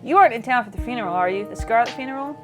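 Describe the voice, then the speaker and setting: A woman's voice: on the high side, racing, music in the background, close to an everyday voice. A woman reading the lines of a chatty, friendly small-town young woman in a video game, with a folksy, easygoing manner. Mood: friendly curiosity.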